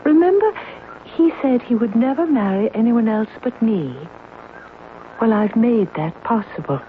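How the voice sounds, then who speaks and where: A woman's voice: fairly high pitch, animated, unhurried. An actress playing a composed, earnest married woman living under a borrowed identity in a radio drama. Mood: earnest, defensive. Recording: narrowband old radio, faint noise.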